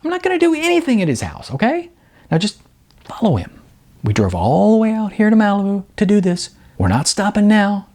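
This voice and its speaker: Man voicing an edgy, high-pitched young male schemer. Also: sing-song, a little fast, a little mellow. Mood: insistent, irritated.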